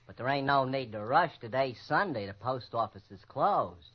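An actor playing an easygoing, folksy, rustic janitor in an old-time radio drama, given to homespun exclamations. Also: male voice, mid-range voice, expressive, normal speed, breathy edge. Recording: dull noisy old radio recording.

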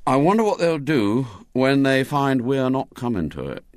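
A man's voice: mid-range voice, very animated, moderate pace, dry recording, studio quality, lightly acted. A man voicing a defiant, scheming schoolboy ringleader who talks bluntly and bossily. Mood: gloomy. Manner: bossy, blunt.

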